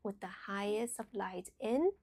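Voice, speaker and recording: A woman guides a meditation at a very unhurried pace. Her pitch sits in an average female range. She phrases deliberately and soothingly, with endings that thin into breathy whispers. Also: a little mellow.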